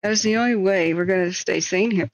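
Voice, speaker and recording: A woman's voice: medium pitch, fast, dry recording, muffled recording. A woman hosting a live stream, chatting casually in a rambling, joking, self-deprecating way.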